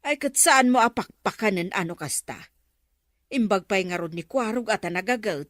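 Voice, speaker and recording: A nosy village shopkeeper played by a woman who chats and teases in a comedy audio drama. Her voice sits at an ordinary pitch, with a close, dry sound. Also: animated, moderate pace, fairly bright tone, no echo, lightly acted. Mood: gossipy. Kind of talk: comedy radio drama acting.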